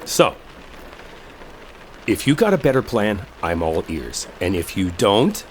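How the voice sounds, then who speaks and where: A man's voice: lowish voice, takes it slowly. A man acting in a roleplay audio drama as an exasperated, sarcastic guy stranded with a coworker he dislikes. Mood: exasperated, insistent. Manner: sarcastic, heated, swearing. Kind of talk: roleplay audio drama, one side of an argument. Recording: steady rain noise behind voice. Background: rainstorm ambience.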